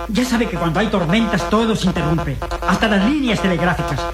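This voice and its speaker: An actor playing a deferential sergeant and telegraph operator, reporting formally and respectfully in a radio drama. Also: male voice, high-pitched, lively intonation, a little fast, loud music behind the voice. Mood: resigned, deferential. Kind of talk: Latin American radio drama acting.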